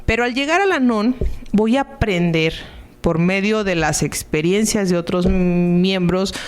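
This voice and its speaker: A woman's voice: medium pitch, lively intonation, unhurried, clean tone. A woman giving a conversational, explanatory radio talk as a support group member, teaching by asking and answering her own rhetorical questions.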